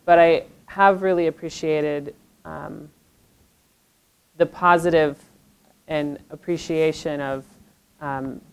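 A woman's voice: deep voice, fairly even intonation, takes it slowly, long pauses, smooth, rough recording. A woman panelist speaking earnestly in a lecture room, searching for words with frequent restarts. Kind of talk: panel discussion.

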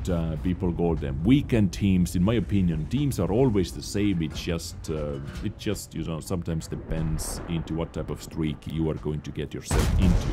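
A young man, not a native English speaker, chatting animatedly over his own gameplay footage and often calling his viewers ladies and gentlemen. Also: sits low, noisy background. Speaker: gaming video host. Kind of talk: gameplay commentary.

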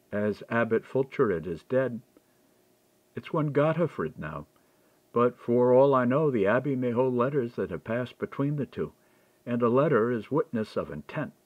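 A shrewd, candid clerk played by a male reader explains things in storybook dialogue. The voice is mid-pitched with lively emphasis, recorded dry and a little dull. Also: a little slow, no echo. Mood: explaining. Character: lapsed monk turned clerk, candid, shrewd man. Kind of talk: audiobook character dialogue.

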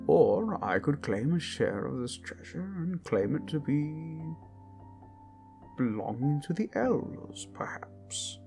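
A man's voice: on the high side, darkish tone, full character voice. A man playing a grumbling, scheming old wizard who muses theatrically over his orb in an ASMR fantasy roleplay, often slipping into whispers. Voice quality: breathy, husky. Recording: music behind the voice.

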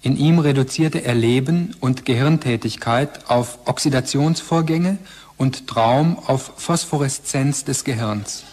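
An actor playing a detached, scholarly narrator, reading formal scripted text in an audio drama. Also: male voice, medium pitch, a little slow, a little mellow, close to an everyday voice.

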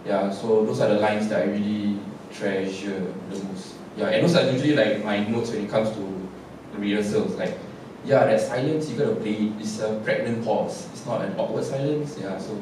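A man's voice: lowish voice, moderate pace. A man, a playwright, talking through his writing at a post-show panel on a theatre stage, loose and reflective with many fillers and restarts. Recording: echoey hall, distant mic, noise.

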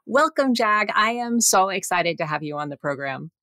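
A woman's voice: mid-range voice, animated, moderate pace, fairly bright tone, no room sound, clean studio sound. A middle-aged woman, a physician, hosting a medical interview podcast and putting questions to a guest. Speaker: podcast host, physician and professor.

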